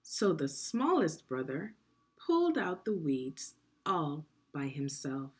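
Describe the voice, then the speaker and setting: A woman teacher reading a story aloud to children in an expressive, deliberate, instructional way, pausing with guiding questions. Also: sits low, husky.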